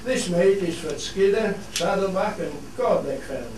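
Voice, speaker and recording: Radio play part: male actor as Cumbrian fell shepherd, plain and unhurried, very echoey, noisy recording. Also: sits high, animated. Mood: explanatory. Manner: plain, explanatory, measured. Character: plain-spoken Cumbrian fell shepherd explaining local customs. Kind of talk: scripted radio play monologue in dialect. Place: theatre studio. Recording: echoey hall, distant mic, clear noise.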